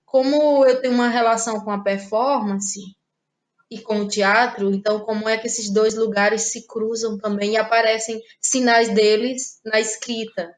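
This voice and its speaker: Thoughtful Brazilian woman playwright, halting speech. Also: on the high side, steady tone. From Ceará, Brazil. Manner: thoughtful, halting, many restarts and fillers. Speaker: playwright and actress, guest. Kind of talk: casual interview conversation.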